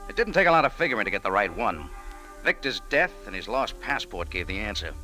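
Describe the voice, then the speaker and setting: A man's voice: fairly low pitch, big pitch swings, brisk, sharp tone. Wisecracking American radio tough guy. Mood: brooding, wry. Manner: slangy, sardonic, clipped. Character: tough, wisecracking American expatriate café owner and adventurer. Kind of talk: 1940s hard-boiled radio acting and narration. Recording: old radio drama with prominent music.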